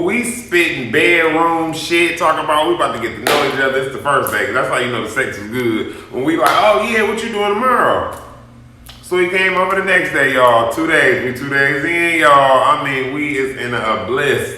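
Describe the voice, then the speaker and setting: Bright, forceful man in an echoey room. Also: on the high side, expressive, normal speed, slight background noise. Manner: sassy, dramatic, addresses viewers as y'all. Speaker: YouTube vlogger. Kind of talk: animated personal storytelling to camera. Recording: very echoey, roomy sound.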